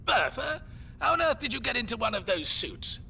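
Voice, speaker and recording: An actor playing a wry, plucky old grandfather in an audio drama, dry and gently defiant in manner. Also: extremely high pitch, big pitch swings, normal speed, creaky, a little hiss or hum, heavily acted. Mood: confused, urgent. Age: older.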